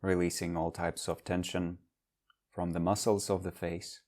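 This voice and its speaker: Low-voiced man guiding a meditation. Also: little pitch movement, a little mellow. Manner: measured, instructional phrasing with long pauses. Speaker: meditation teacher. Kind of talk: guided meditation.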